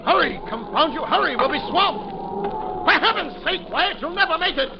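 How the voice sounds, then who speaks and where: Through a muffled, hissy 1940s radio recording comes an alarmed ship's passenger in a horror play. His mid-pitched voice swings widely, dramatic and urgent, in classic old radio style. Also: expressive, normal speed. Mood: panicked shouting. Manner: dramatic, grave in narration, urgent in dialogue. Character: alarmed male ship passenger recounting a terrifying voyage. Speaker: narrator and lead character. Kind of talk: 1940s radio acting, first-person horror narration.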